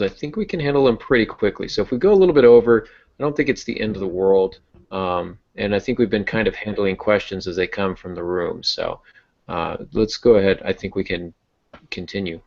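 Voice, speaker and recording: Low-voiced man talking casually on a podcast. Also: a little fast, gravelly, a little mellow. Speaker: podcast host and novelist. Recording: dull, muffled lo-fi audio.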